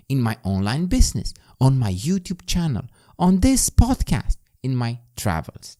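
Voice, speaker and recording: A man's voice: fairly high pitch, dark tone. A man with a Romanian accent, a native Romanian speaker, hosting a podcast in an emphatic, scripted self-improvement monologue that addresses the listener directly. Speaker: podcast host.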